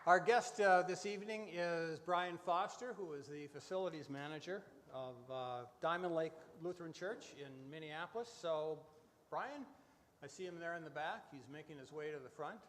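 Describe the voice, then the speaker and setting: A man's voice: a little high, normal speed, fairly bright tone. A man hosting a live radio variety show in a conversational, hesitant manner. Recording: echoey hall with faint noise.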